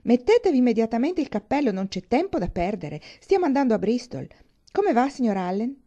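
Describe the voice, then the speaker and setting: A pushy, boastful young gentleman from a storybook, acted out by a woman narrator. He blusters and gives orders emphatically, pitched up with excitement. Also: brisk, muffled recording. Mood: urgent, bossy. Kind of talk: audiobook character dialogue.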